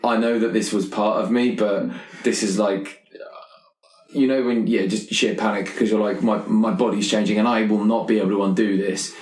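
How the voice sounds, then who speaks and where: Male roleplayer, lowish voice, informal and improvising, recorded with clear room reflections. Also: steady tone, moderate pace, darkish tone. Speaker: player in a tabletop roleplaying game. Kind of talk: casual improvised game chat. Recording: roomy, echoey sound.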